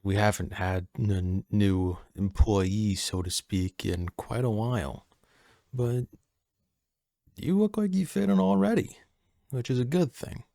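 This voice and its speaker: A man playing a friendly, easygoing personal assistant at a manor in an audio roleplay, soft-spoken, casual and a little hesitant. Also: lowish voice, slow, creaky, no echo, studio quality. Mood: welcoming, easygoing.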